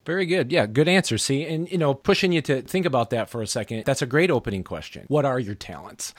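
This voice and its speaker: A man's voice: medium pitch, expressive, fast, no echo, studio quality. A man interviewing a guest, asking questions in an encouraging, conversational way. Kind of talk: casual interview chat.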